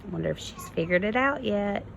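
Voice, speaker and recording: A woman kindergarten teacher reading a story to young children, expressive and instructive, prompting them with questions. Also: medium pitch, a little fast, vocal fry, a little hiss or hum, poor audio. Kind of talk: read-aloud lesson for young children.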